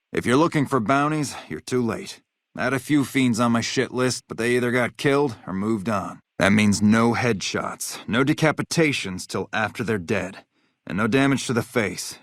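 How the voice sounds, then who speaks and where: An actor playing a hard-bitten, vengeful military officer in a video game, blunt, profane and matter-of-fact. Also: male voice, medium pitch, fairly bright tone, no room sound, studio quality, close to an everyday voice. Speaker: game character, army officer.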